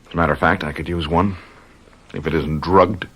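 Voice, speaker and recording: A man's voice: fairly even intonation, brisk. An American actor playing a tense government man and husband in a radio drama. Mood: wary, defiant. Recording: noisy vintage radio drama, vehicle rumble.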